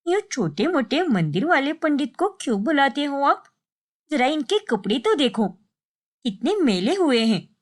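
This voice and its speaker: A woman's voice: sits high, sing-song, a little slow, pitched above the performer's own voice. A woman voicing a proud, snobbish young daughter-in-law in a cartoon story, haughty and mixing in English words. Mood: haughty, disgusted.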